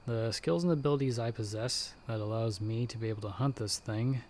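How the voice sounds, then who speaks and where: A man playing a calm, protective monster hunter in an ASMR audio roleplay, giving hushed, measured, reassuring instructions. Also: mid-range voice, slight background noise, lightly acted. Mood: calm, explanatory. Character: calm, protective male monster hunter guiding someone by telepathy.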